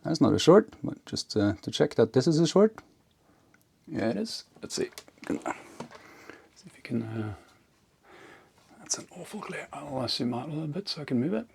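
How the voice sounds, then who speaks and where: A man giving casual, unscripted hands-on narration as an electronics hobbyist, halting and half talking to himself as he works. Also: fairly high pitch, very animated, creaky, darkish tone, slightly rough. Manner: halting, muttering to himself while working.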